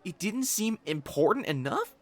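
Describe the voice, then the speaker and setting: A man performs a proud, possessive young nobleman in a romantic audio drama. His voice sits fairly high and swings dramatically up and down. He speaks in formal, haughty phrases and gets flustered easily. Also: sing-song, moderate pace, fairly bright tone. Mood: exasperated, jealous. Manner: haughty formal speech, flustered stammering when jealous. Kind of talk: romantic audio roleplay acting.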